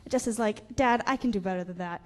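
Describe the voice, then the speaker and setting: A woman's voice: medium pitch, fairly quick. A young woman in a family band chatting casually as an interview guest, giggly and peppering her talk with "you know". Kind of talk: casual onstage interview chat.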